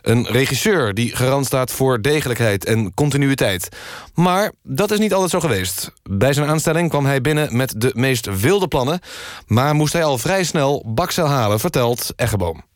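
A man's voice: medium pitch, lively intonation, normal speed, dry recording, natural voice. A man plays a presenter on a satirical radio magazine. He delivers scripted links with earnest broadcast seriousness. The sound is close and dry. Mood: earnest, informative. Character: male presenter of a mock news radio magazine.

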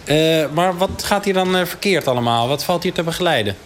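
A man's voice: fairly high pitch, animated, fairly quick, a little hiss or hum, natural voice. A man playing a curious radio field reporter, asking questions a little hesitantly in a mock reportage. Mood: inquisitive.

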